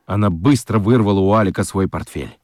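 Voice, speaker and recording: A man narrating a children's radio story in an expressive, scripted storytelling style. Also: low voice, fairly quick, no room sound, clean studio sound.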